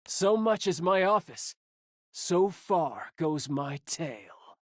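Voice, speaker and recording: A woman's voice: on the low side, lively intonation, takes it slowly, dry recording. A woman voicing a grandiose divine emissary in a video game, declaiming in lofty, archaic, theatrical phrases. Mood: solemn, grandiose. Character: grandiose divine emissary Primal, theatrical, exuberant, young-sounding. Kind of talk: scripted video game dialogue. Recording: orchestral music behind the voice.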